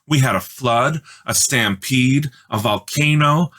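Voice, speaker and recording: A man voicing an earnest, excitable young boy in a children's storybook. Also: mid-range voice, takes it slowly, pitched above the performer's own voice. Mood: breathless excitement. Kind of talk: children's storybook read-aloud.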